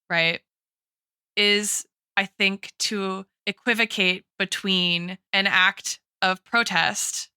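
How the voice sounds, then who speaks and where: A woman's voice: on the low side, sharp tone, clean tone, no echo, clean studio sound. A woman in a casual, analytical podcast discussion, thinking aloud as she goes and often checking in with "right?". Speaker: podcast co-host and guest expert.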